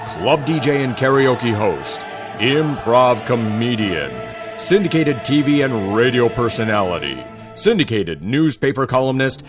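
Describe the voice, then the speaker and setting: A man giving a scripted show introduction in a slow, booming announcer delivery. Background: music.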